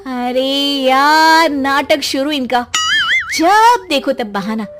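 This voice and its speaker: A woman voicing a sharp-tongued young wife in a cartoon story, scolding and mocking. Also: sits high, expressive, slow, loud music behind the voice.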